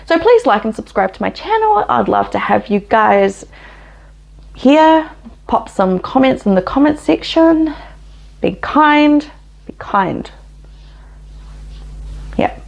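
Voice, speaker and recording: A woman's voice: high-pitched, big pitch swings, takes it slowly, vocal fry, pauses often. A woman with a New Zealand accent, hosting her history channel and telling the story in an opinionated, chatty way with frequent laughs.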